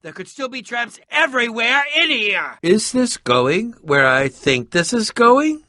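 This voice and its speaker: An actor voicing an eccentric, prickly and curious middle-aged adventurer in a video game, with a pompous, theatrical manner and formal wording. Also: male voice, extremely high pitch, big pitch swings, measured pace, sharp tone, dry recording, studio quality, full character voice. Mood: excited.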